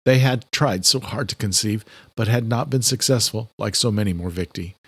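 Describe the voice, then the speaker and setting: A man's voice: on the low side, fairly quick, breathy edge, no echo. A man reading a horror thriller audiobook as scripted narration.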